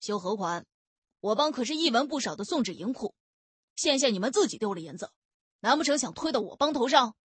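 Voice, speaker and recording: Woman acting a loud, blunt young male gang boss. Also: on the high side, lively intonation, a little fast, husky, no room sound. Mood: hostile. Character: belligerent bearded burly young male gang leader.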